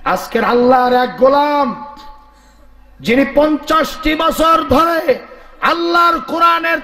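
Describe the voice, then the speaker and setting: A male Islamic preacher delivers a fiery sermon in a very high, hoarse, straining voice. His pacing is slow and declamatory, built to draw responses from listeners. The sound echoes heavily over a PA. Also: fairly bright tone. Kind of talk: fiery sermon to a live congregation. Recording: echoey PA sound, faint crowd.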